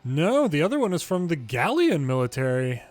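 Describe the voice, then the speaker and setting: A man's voice: fairly high pitch, very animated, moderate pace, a little mellow, no room sound, soft background music. A man reading video game dialogue aloud dramatically, acting out each character's lines in turn.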